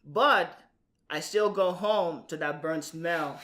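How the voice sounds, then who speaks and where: A man from Puerto Rico co-hosting a podcast in casual conversation, with measured, deliberate phrasing. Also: fairly high pitch, a bit bright. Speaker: podcast co-host. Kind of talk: casual scripted-topic chat.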